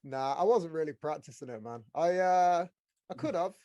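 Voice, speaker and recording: A man hosting a podcast as a casual, blokey, excitable chat with plenty of swearing. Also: a little high, normal speed, no echo. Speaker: podcast host. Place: small studio room.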